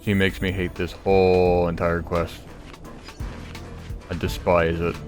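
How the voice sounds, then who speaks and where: A man with a low voice plays a video game and comments as he goes. He is informal and blunt, often talking half to himself. The game's music is clearly heard behind him. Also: little pitch movement, slow pace. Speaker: game streamer, let's player. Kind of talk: casual gameplay commentary.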